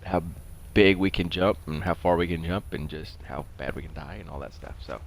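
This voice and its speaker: A man's voice: low-pitched, fairly quick. A middle-aged man giving casual, rambling commentary while he plays a video game. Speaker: gaming show host. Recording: faint background noise.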